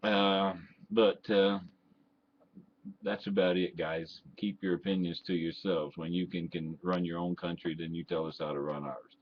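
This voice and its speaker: Very deep-voiced older Texan man ranting. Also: steady tone, unhurried. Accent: Texan, mixed with Southern. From Texas. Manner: blunt and indignant, with many fillers and restarts. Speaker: vlogger and ham radio operator. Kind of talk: opinionated rant to camera. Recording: dull lo-fi home recording with faint noise.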